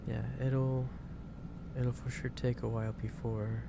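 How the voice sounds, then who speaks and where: A man playing a gentle, caring office boss in an ASMR roleplay, speaking in a halting, reassuring way. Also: medium pitch, gravelly. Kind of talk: improvised ASMR roleplay, one-sided conversation. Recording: steady loud background noise.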